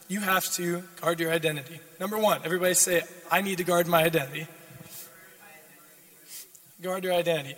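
A hoarse young man preaching a sermon as a guest speaker, repeating his key lines and building in intensity. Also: high voice, fairly bright tone. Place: church sanctuary. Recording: long church reverb.

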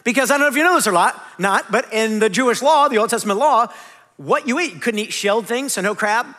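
A man in his forties preaching a conversational sermon, animated and self-deprecating. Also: very high-pitched, fast talker. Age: 40s. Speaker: pastor. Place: large church hall. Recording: long hall reverb.

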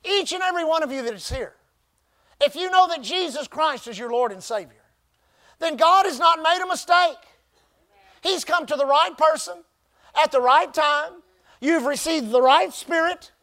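A man's voice: very high-pitched, pushed and bright. A man preaching a sermon as pastor, drawing out key phrases emphatically and prompting the congregation to repeat words back. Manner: call-and-response preaching, emphatic drawn-out phrases.

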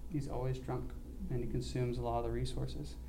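An earnest young man recounts a mission trip in a halting, conversational way, filling pauses with ums. His voice is dark and mellow. A faint hiss sits under the recording. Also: mid-range voice, little pitch movement, creaky. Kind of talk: church mission report, casual.

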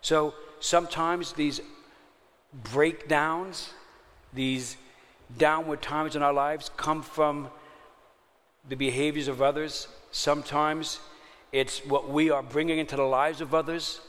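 A man's voice: fairly high pitch, fairly bright tone, big-room sound. A man, preaching a sermon in a chatty way and often checking in with his listeners. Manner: conversational, checks in with the audience often. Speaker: pastor.

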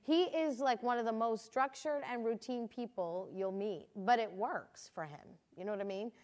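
A woman hosting a casual church conversation, encouraging and quick to laugh, praising her guest and quoting scripture. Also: a little high. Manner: warm, laughs often, encouraging. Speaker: church host. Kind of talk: casual church testimony chat.

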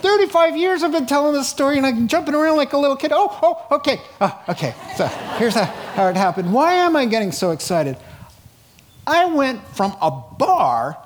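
A man's voice: very high-pitched, big pitch swings, normal speed, a little hiss or hum. A middle-aged man preaching a sermon in a conversational, informal way, animatedly telling stories and acting out dialogue. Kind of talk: sermon, reading scripture and retelling it in colloquial, dramatized asides.

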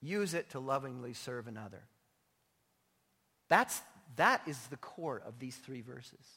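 A man's voice: medium pitch, animated, a little slow, pushed and bright, breathy edge. A man preaching a sermon as a pastor, emphatic yet informal and conversational, his voice slightly strained. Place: large indoor church hall. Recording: echoey large hall, long reverb.

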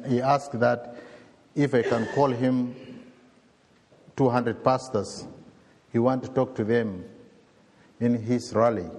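Sudanese bishop with deep, halting English. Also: male voice, darkish tone, in a large hall, a little hiss or hum. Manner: slow, halting, heartfelt non-native English. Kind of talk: testimony in a church talk.

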